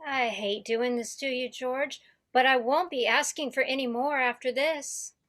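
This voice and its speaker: A wistful, resolute young small-town woman from a radio play, played by a woman. Her voice sits fairly high and moves slowly and deliberately. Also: steady tone, a bit bright. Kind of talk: community theatre radio play acting. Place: home, over video call.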